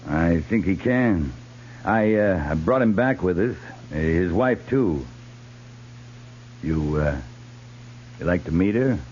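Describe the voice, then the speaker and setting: Old muffled western radio show, steady army captain, lowish voice, authoritative, kinda dry. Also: male voice, slow, pauses often. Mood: reporting, dry. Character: steady, dry-humored male US cavalry captain on the frontier. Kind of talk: 1950s western radio drama acting. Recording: noisy, dull 1950s radio recording.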